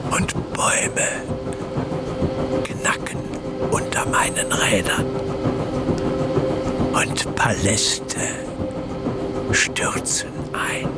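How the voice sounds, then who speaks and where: An actor in a radio play playing a fevered, poetic revolutionary who speaks in a breathy, incantatory monologue. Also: male voice, very slow, long pauses. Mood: ominous, incantatory. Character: brooding revolutionary man, fevered, poetic, grandiose visionary. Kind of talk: German radio play acting, literary monologue. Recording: loud music and train noise behind. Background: music, train rumble.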